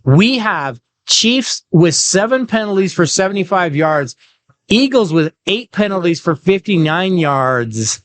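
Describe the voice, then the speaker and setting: A man hosting a casual sports podcast, chatty, with quick asides and leading questions. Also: sits high, very animated, fairly bright tone, dry recording. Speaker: podcast host. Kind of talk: casual sports chat and interviews.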